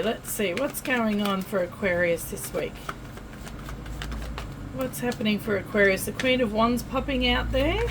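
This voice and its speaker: An Australian woman giving a casual tarot reading to camera, chatty and friendly, often pausing with fillers and restarting. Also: a little high, background noise. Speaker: tarot reader.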